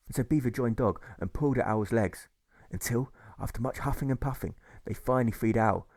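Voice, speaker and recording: A man narrates an audiobook fable in a measured, deliberate way. His voice is fairly low with noticeable creak, and the recording is dry. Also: darkish tone, no echo. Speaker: author reading his own audiobook. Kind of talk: scripted narration of a rhyming fable.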